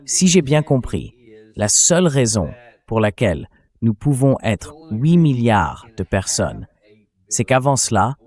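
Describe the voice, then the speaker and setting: A man's AI-generated voice dubbing an interview host, asking questions in a measured, inquisitive way. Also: on the low side, darkish tone. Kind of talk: dubbed interview questions read by AI voice.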